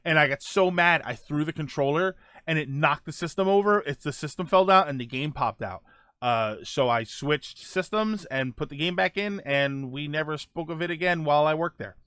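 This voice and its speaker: A man's voice: on the high side, normal speed, sharp tone, clear, resonant tone, dry recording, studio quality. A middle-aged man hosting a casual live stream, answering viewer questions in a rambling way with many fillers and restarts.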